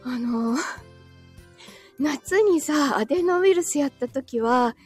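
A woman's voice: high voice, expressive, slow pace, soft background music. A woman live streamer chatting casually and answering comments, giggly, tired and a little short of breath after being ill. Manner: giggly, often breaking into small laughs.

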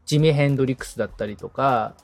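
A man's voice: medium pitch, very fast, no echo, faint music behind. A man in the assistant's seat on a podcast, explaining his interests casually and enthusiastically, thinking aloud as he goes. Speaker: podcast assistant, guitarist being coached.

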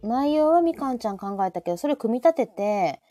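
A woman's voice: on the high side, big pitch swings, brisk, no room sound. A woman with a Kansai accent chatting casually as host of a live audio show, asking questions and reacting often. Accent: Kansai Japanese. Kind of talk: casual chat on a live stream. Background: soft background music.

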